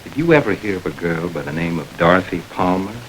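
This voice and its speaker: A man playing a firm, measured police investigator in a 1940s radio drama, recorded with old radio hiss. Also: low voice, expressive, a bit bright, low-quality recording. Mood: stern. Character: stern middle-aged male police investigator. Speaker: police lieutenant.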